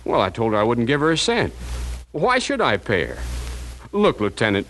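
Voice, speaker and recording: A stammering, worried out-of-towner. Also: male voice, mid-range voice, huge pitch swings, normal speed, fairly bright tone, poor audio. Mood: anxious. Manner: anxious, stammering. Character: nervous small-town businessman, young-ish, worried husband. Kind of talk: 1940s radio acting. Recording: old radio broadcast with faint noise.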